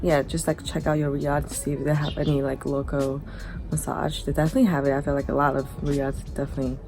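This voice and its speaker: A young woman chatting casually to camera as a travel vlogger, relaxed and drowsy, recorded at arm's length indoors. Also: low-pitched. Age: young adult. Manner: relaxed, slow, drowsy after a massage. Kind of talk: casual vlog chat to camera. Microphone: camera held at arm's length. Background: background music.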